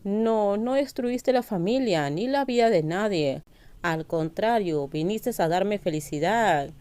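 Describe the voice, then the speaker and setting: A woman's voice: medium pitch, animated, slow, warm tone, clear, resonant tone, dry recording. A woman voicing a confident, persistent man in love in a narrated romance story. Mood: reassuring.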